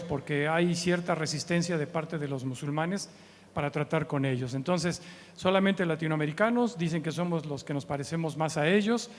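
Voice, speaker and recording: A man in his early seventies with a Mexican accent, giving an informal, conversational church teaching with humorous anecdotes and frequent tag questions. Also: on the high side. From Mexico City, Mexico. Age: early 70s. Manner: conversational, humorous, often seeks agreement with short tag questions. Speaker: guest preacher and missions trainer. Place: church meeting hall. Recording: long hall reverb from church sound.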